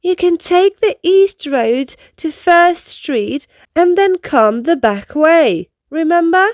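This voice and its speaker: Woman playing a high, sensible, helpful girl bear. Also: animated, slow, no room sound, exaggerated voice. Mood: patient explaining. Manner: patient, instructive. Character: sensible, helpful female bear friend, patiently explaining.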